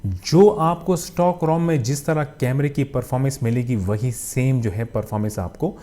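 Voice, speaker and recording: Mellow-voiced Pakistani man reviewing a phone. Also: mid-range voice, normal speed. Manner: frequent verbal fillers, English tech terms mixed in. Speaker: tech reviewer and channel host. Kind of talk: casual phone review narration.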